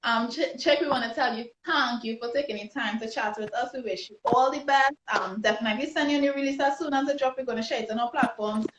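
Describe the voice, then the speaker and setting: A woman's voice: a little high, fast talker, few pauses, background noise. A woman with a Trinidadian accent hosting a live online interview, upbeat and chatty, often restarting her sentences. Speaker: host. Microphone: phone or laptop on a video call. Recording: roomy, dull lo-fi livestream audio.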